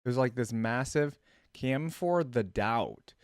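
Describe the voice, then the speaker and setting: A man's voice: medium pitch, expressive, slow pace, clean tone, dry recording, clean studio sound. A man giving casual reaction commentary as a video host, thinking aloud in an informal way. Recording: music playing behind.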